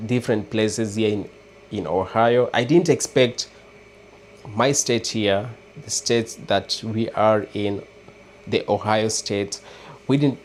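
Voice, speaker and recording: A man with a Kenyan accent chatting casually with viewers on a livestream, hesitant and halting, often restarting words. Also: lowish voice, darkish tone, a little hiss or hum. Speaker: livestream host. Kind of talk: casual live chat with viewers.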